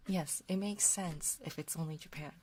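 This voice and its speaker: A woman hosting an ASMR livestream, chatting casually in a soft, hushed voice that often slips into whispers, close to a binaural microphone. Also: sits low, moderate pace. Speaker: livestream host. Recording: close binaural capture with faint noise. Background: faint noise, soft clicks of a ticking clock.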